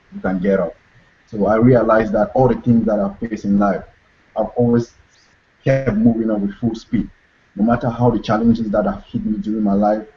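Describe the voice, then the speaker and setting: A young man from Cameroon, talking earnestly as an interview guest and repeating his key phrases. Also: lowish voice. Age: young adult. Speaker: guest author. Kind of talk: motivational interview talk. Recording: dull lo-fi recording.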